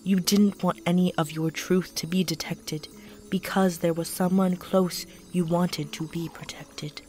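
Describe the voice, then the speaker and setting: A woman's voice: on the low side, steady tone, unhurried, natural voice. A woman voicing a mysterious female spirit guide in a fan audio drama, speaking in solemn rhymes. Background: soft music.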